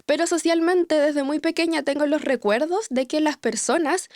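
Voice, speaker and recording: A woman's voice: sits high, moderate pace, no room sound, studio quality. A young Chilean woman with a Chilean accent hosting a podcast, talking reflectively with many fillers and tag questions. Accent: Chilean Spanish. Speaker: host. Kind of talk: conversational podcast talk.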